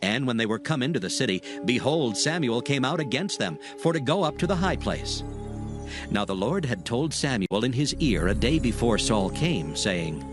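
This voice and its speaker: A man reading scripture narration in a measured, formal manner as storyteller. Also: mid-range voice, sing-song. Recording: faint music behind the voice.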